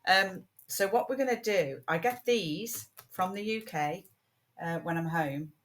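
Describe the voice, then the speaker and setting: A British woman hosting a casual crafting video, chatting in a rambling way with many ums, her voice croaky from a lingering cold and cough. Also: on the low side, a little slow. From United Kingdom. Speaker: craft channel host.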